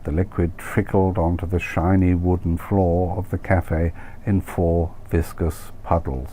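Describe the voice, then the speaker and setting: A man narrates a comic short story of his own in a very low, mellow voice. His delivery is dry, wry and literary. A faint noise runs behind the reading. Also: fairly even intonation, unhurried.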